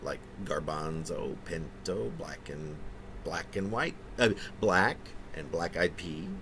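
A man in his fifties reading his own poem dramatically, in halting phrases with conversational asides, theatrical in delivery. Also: extremely low pitch, a bit bright, a little hiss or hum. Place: small room indoors.

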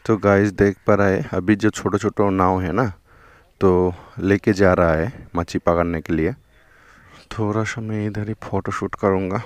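Brief: young Bengali-accented male motovlogger, lowish voice, chatty, keeps saying "okay" and "look", close dry action-cam sound. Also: fairly even intonation, unhurried, no echo. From West Bengal, India. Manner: chatty, repeats "theek hai" and "dekho". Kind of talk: casual vlog narration while riding. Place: outdoors on a riverbank, on a motorbike. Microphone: helmet or chest action camera.

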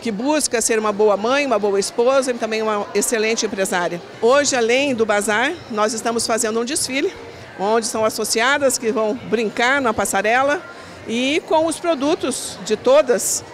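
A woman's voice: a little high, normal speed. A middle-aged woman talking into a handheld mic in an indoor event hall, a business committee coordinator speaking earnestly in a listing, repetitive way with small restarts. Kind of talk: event interview. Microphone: handheld interview mic.

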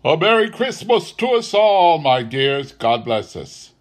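A man voicing a mild, kindly Victorian father with an earnest, gentle manner. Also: fairly high pitch, big pitch swings, measured pace, voice pushed up. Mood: heartfelt.

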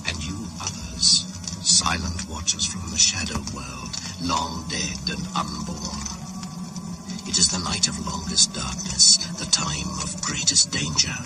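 Solemn male shaman, low and incantatory, radio-play monologue with music underneath. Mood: solemn, ominous. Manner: grave, incantatory. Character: solemn male shaman addressing spirits at winter solstice. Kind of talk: 1980s British radio drama monologue.

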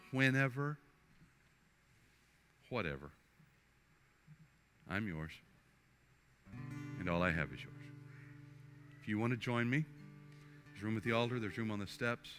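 An older male pastor in his sixties with a bright, forceful voice, preaching and leading an altar prayer in a church sanctuary. He speaks earnestly, often stumbling and restarting his phrases, while soft guitar music plays faintly beneath him. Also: medium pitch. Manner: earnest, halting with repeated restarts.

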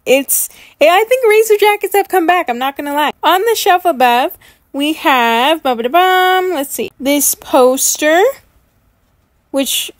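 A woman's voice: high voice, lively intonation, a little slow, no echo, studio quality. A woman giving chatty, gushing commentary as a gaming YouTuber unboxing items in a game. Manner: enthusiastic, gushing.

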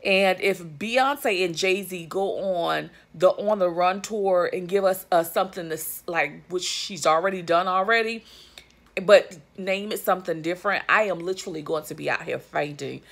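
A woman in her thirties talking straight to her phone camera from a living-room couch, chatty and emphatic with lots of asides to her viewers. Her voice sits low with a gravelly, fry-edged texture. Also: normal speed, fairly bright tone. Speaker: vlogger. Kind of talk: casual commentary to camera. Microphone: phone or webcam at arm's length.

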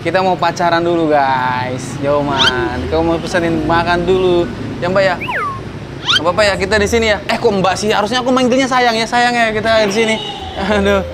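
A man's voice: high voice, steady tone, brisk, heavy background noise. Joking young man vlogging at a café. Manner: jokey, playful, rambling. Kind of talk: comedic prank vlog. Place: outdoor café terrace.

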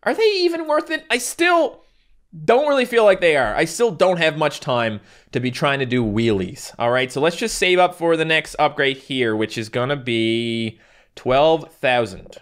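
Brief: young male gaming host, animated, slightly high voice, excitable and jokey, recorded at a home desk. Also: normal speed. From Newfoundland, Canada. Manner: excitable, yelps and jokes at the game. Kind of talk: casual let's-play commentary.

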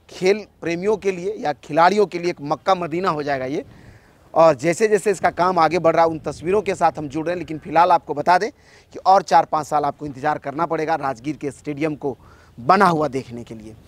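A man's voice: on the high side, a little fast. A man narrating an on-site video report in a conversational way, repeating his points and restarting phrases.

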